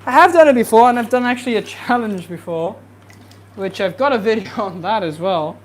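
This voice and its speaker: A young adult fishkeeping streamer chatting casually and rambling with fillers during a livestream from a home fish room, with a soft, relaxing voice. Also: mid-range voice, animated, a little fast, a little hiss or hum. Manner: easygoing, rambling, many fillers. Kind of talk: casual livestream chat while cleaning tanks.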